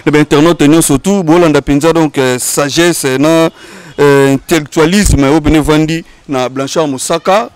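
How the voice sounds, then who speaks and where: A man's voice: on the high side, moderate pace, hardly any pauses, clear, resonant tone, no echo. A man hosting a studio talk show, thanking the guest, affirming him briefly and steering the topic with questions.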